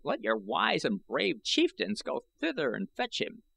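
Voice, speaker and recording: A man voicing a boastful, cackling old Martian in a shrill voice, with old-fashioned taunting speech, in an audiobook. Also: wildly swooping pitch, measured pace, gravelly, a bit bright, husky, heavily acted. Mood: defiant.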